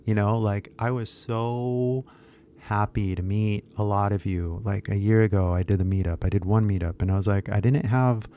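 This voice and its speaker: A man's voice: on the low side, steady tone, moderate pace, clean tone, highs cut off. A man in his thirties chatting casually on a live stream, rambling with lots of fillers and switching into Japanese at times. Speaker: English teacher YouTuber.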